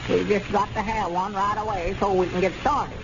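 An actor playing a fretful, slow-witted old storekeeper, stammering and hesitant in 1930s radio comedy style. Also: male voice, high voice, steady tone. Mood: flustered. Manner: hesitant, stammering with many uhs. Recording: muffled, hissy 1930s radio transcription.

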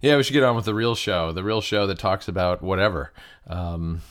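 Deep-voiced man with a cold. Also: a little fast, fairly bright tone. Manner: easygoing and conversational, with ums and restarts. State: stuffed up from a cold. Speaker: podcast co-host. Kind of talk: casual podcast chat.

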